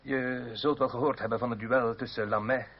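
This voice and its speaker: A man's voice: mid-range voice, fairly even intonation, moderate pace, husky, lightly acted. An actor playing an earnest male politician in a radio drama, explaining and placating, at times hesitant. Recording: dull noisy old radio recording.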